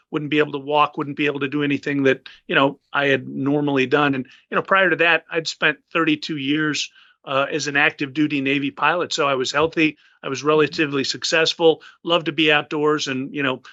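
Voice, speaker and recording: A man's voice: a little high, bright, forceful tone, no room sound, muffled recording. A man in his fifties, a retired naval officer, giving an interview and recounting events with many fillers and restarts. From United States. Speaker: guest.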